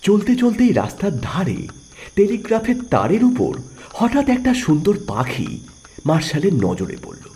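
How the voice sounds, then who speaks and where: A male narrator with a fairly high voice tells a scripted story dramatically. His pitch rises and falls very widely, over faint noise and insect-like ambience. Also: warm tone.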